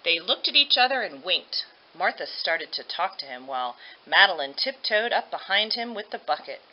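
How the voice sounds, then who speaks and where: A woman reading a children's story aloud with expressive narration. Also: lowish voice, normal speed. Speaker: storybook reader. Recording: dull lo-fi audio.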